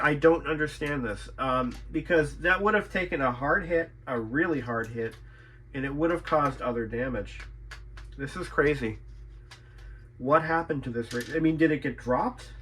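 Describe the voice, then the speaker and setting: A man's voice: fairly high pitch, normal speed, sharp tone, slight background noise. A man who works as a repair technician, talking unscripted as he inspects his work, thinking aloud, repeating himself and swearing mildly. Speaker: radio repair technician and channel host.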